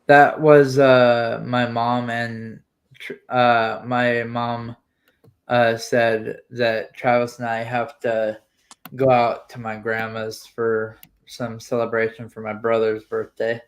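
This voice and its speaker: A man in his late twenties chatting on a livestream, hesitant and filling pauses, trailing off his sentences. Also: medium pitch, flat delivery. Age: 20s. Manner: hesitant, lots of fillers, trails off with "so". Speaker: livestream co-host. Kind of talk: casual livestream chat.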